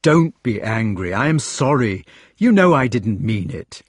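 A male narrator plays an ardent storybook knight, no longer young, pleading with his lover. The delivery is impassioned and swings widely in pitch, with a husky edge to the voice. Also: very animated, unhurried, no room sound, clean studio sound, exaggerated voice. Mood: insistent, apologetic. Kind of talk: audiobook character dialogue.

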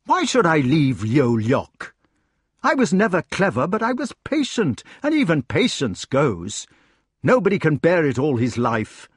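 A man's voice: fairly high pitch, huge pitch swings, measured pace, breathy edge, no echo, studio quality, voice pushed up, full character voice. A man voicing a wretched, betrayed old king in a storybook, impassioned and declamatory, raging and pleading. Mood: weary, sorrowful. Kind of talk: dramatic audiobook character reading.

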